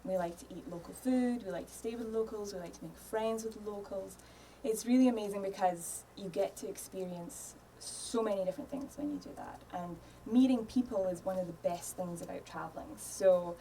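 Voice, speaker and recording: A woman's voice: on the low side, dark tone, a little hiss or hum. A woman in her thirties with a Scottish accent, a podcast guest chatting easily in a studio, laughing often and dropping in "um" and "yeah". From Scotland. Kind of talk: casual interview chat. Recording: voice a little distant from mic.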